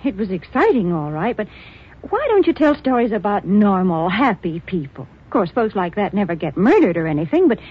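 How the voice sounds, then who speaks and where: A woman's voice: mid-range voice, very animated, fairly quick, husky. An actress playing a cheery, wholesome sponsor spokeswoman in 1940s radio advertising style. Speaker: commercial spokeswoman. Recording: muffled old radio recording.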